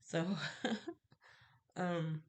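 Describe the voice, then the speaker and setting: A woman's voice: on the low side, drawn-out words, slight background noise. A woman with a stuffy cold, sounding nasal, chatting casually to camera about her candle-making and rambling with fillers and little laughs. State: stuffy, congested.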